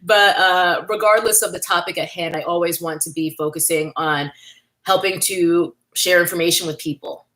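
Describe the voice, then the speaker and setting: A woman's voice: lowish voice, steady tone, normal speed, fairly bright tone, low-quality recording. A woman from New York, hosting a casual livestream, chatting candidly and thanking viewers by name as she reads their comments. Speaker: livestream host.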